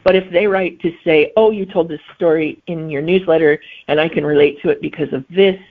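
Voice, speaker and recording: A middle-aged woman, a guest author, answering interview questions in a thoughtful way and pausing to find her words. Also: low voice. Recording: phone-like remote line.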